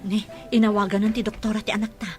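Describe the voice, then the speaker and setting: A woman's voice: mid-range voice, level tone, quick pace, natural voice. An actress playing an earnest, emotional village mother in an Ilocano radio drama. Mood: anxious. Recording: music bed behind the voice.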